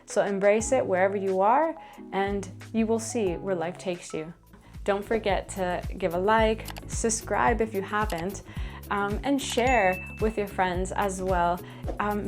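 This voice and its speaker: An earnest young woman speaks straight to her viewers in a reflective personal vlog. Her voice sits at an everyday middle pitch, and her tone is encouraging and sincere. Soft music plays faintly behind her. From Canada. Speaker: vlogger.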